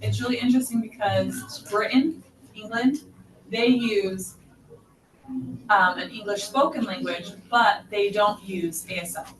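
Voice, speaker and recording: A woman's voice: medium pitch, unhurried. A middle-aged deaf woman teaching a sign language class, patiently explaining step by step. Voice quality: deaf speaker's voice. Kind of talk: teaching a class, answering questions. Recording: echoey hall from far off, noisy.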